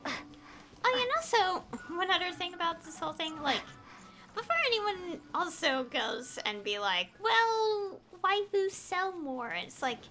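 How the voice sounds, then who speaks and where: A woman's voice: extremely high pitch, very animated, unhurried, music in the background. A woman game streamer chatting casually and rambling, with an exasperated, opinionated, pleading emphasis. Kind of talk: casual rambling livestream chat.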